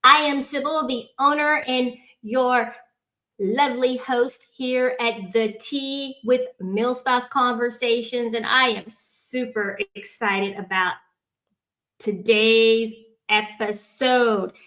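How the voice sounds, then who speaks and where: A woman's voice: a little high, slow, low-quality recording. A woman in her forties hosting a casual online talk show from home over a video call, playful and chatty. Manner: lively, playful, Southern-style "girl" and "y'all" banter. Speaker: podcast host. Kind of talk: casual livestream chat.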